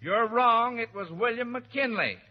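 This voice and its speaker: A man's voice: extremely high pitch, lively intonation, unhurried. A man hosting an old-time radio quiz show in a folksy, hesitant, avuncular manner. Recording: muffled old radio recording, reverberant, faint noise.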